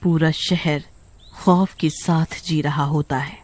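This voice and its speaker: A man narrating a scripted horror story dramatically, with suspenseful, drawn-out phrasing. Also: fairly high pitch, fairly even intonation.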